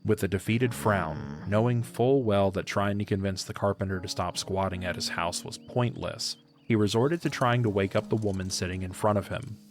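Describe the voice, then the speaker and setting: A man's voice: fairly low pitch, moderate pace, hardly any pauses. A man narrating an audio drama from a script, as a storyteller. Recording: music under the voice.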